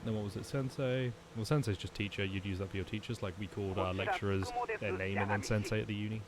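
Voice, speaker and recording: A man's voice: on the low side, fairly even intonation, quick pace, a crowd in the background. A young man streaming a game, chatting and thinking aloud with lots of ums and restarts. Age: young adult. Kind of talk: gaming commentary.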